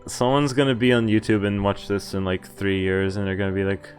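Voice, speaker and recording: A man's voice: lowish voice, a little fast. Man streaming over game music. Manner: informal, breaks off reading to joke and comment. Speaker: streamer playing a visual novel. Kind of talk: reading game text aloud with casual chatty commentary.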